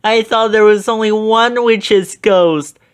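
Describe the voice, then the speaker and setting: A man voicing a goofy, jittery, always-hungry young man, talking high and excitably. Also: slow, clean tone. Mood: excitable.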